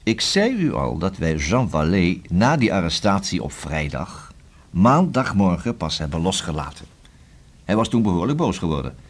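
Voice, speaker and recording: An actor in a Dutch radio play as a courteous, persistent police investigator, speaking in a formal, long-winded, deliberate way. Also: male voice, deep voice, sing-song, slightly rough, heavily acted. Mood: deliberate, coaxing.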